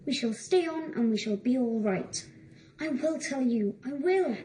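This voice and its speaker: Very high-voiced, secretive young schoolboy. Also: female voice, lively intonation, unhurried, mellow, slight background noise. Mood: wistful, evasive. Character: young schoolboy, secretive, precocious, uneasy under questioning. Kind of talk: audio drama acting.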